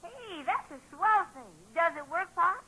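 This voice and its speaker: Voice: very high voice, animated, unhurried, bright, forceful tone, full character voice. A performer playing an eager, trusting young boy in 1940s radio comedy-drama, wide-eyed and insistent, full of boyish slang. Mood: curious. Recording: 1940s radio broadcast recording.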